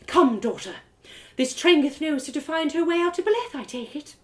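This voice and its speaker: A woman's voice: high-pitched, lively intonation, normal speed, pitched above the performer's own voice. A woman voicing a stern, scornful elven mother in a story, with imperious, formal commands. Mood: impatient, commanding.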